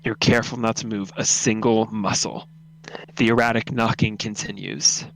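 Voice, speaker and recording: A man's voice: on the low side, little pitch movement, normal speed, low-quality recording. A man narrating a second-person horror story as a live radio drama read-through.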